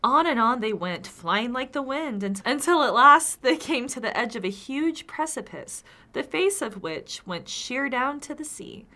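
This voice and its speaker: A woman's voice: high voice, animated, a little slow, bright, forceful tone. A tipsy woman co-hosting a casual comedy podcast, reading folktales aloud with sweary, sarcastic asides.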